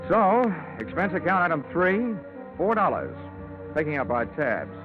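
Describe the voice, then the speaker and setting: An actor playing a wry, hard-boiled insurance investigator, deadpan and wisecracking, in an old-time radio detective drama. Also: male voice, fairly high pitch, unhurried, over music. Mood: wry, narrating. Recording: tinny vintage radio recording.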